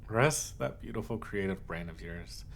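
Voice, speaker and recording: A man's voice: on the low side, gravelly, slight background noise. Man acting as a clingy, obsessive lovesick yandere. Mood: soothing, possessive. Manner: halting, cooing endearments, swings from hushed to insistent. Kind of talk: scripted ASMR roleplay monologue.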